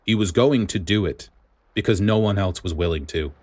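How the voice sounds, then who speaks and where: A man's voice: deep voice, normal speed. A man voicing a grim, resolute vice admiral in a story, muttering and terse with steely resolve.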